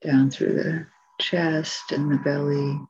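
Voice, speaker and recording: A woman teaching meditation, giving calm, gentle spoken guidance with long pauses between phrases. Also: sits low, breathy edge, highs cut off. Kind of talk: guided meditation, soft spoken instruction.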